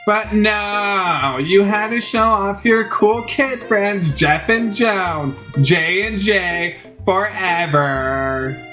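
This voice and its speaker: A man voicing a dramatic, indignant young female student in a video game, with drawn-out whining delivery. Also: high voice, sharp tone, soft background music, pitched above the performer's own voice, full character voice. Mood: angry, sarcastic.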